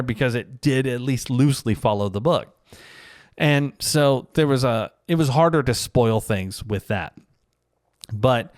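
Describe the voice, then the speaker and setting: A man's voice: mid-range voice, no echo, studio quality. A man talking casually and reflectively on his own, in a conversational, rambling, self-deprecating way, like a vlogger. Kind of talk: casual reflective solo commentary.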